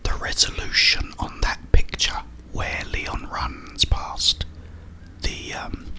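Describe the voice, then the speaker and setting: A man whispering a rambling, halting ASMR monologue about video games, with a breathy unvoiced whisper and frequent pauses. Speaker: ASMR creator.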